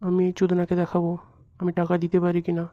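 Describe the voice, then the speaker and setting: A man's voice: high voice, monotone, quick pace, dry recording, natural voice. Brash young slacker son, acted by a man, comic cartoon, foul-mouthed, lo-fi dry recording. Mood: boastful, indignant. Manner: brash, swearing, boastful. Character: cocky broke young slacker son, boastful and whiny. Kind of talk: comic Bengali cartoon acting.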